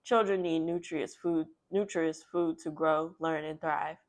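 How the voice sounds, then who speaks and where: A woman hosting a children's read-aloud, speaking in an expressive, emphatic storyteller's manner. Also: low voice, moderate pace.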